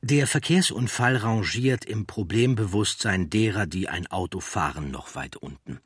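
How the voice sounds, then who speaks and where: A man reading scripted documentary narration in a measured, factual manner. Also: lowish voice, slightly rough, no room sound, clean studio sound.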